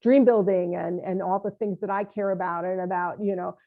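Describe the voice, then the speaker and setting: A woman's voice: lowish voice, steady tone, normal speed. A woman hosting an interview, encouraging and enthusiastic, with many fillers. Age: older. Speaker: podcast host. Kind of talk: casual interview chat. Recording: tinny phone-like line.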